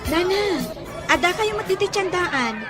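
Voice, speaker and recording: A woman's voice: very high voice, animated, a little slow. A woman playing a polite, agreeable young woman in a radio drama. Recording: loud music and crowd noise behind.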